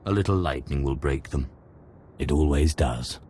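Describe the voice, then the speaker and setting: A very deep-voiced, imperious dark lord for a sci-fi game. He speaks with cold, haughty command and quiet threat, over clear background noise. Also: normal speed. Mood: cold menace. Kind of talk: video game voice acting.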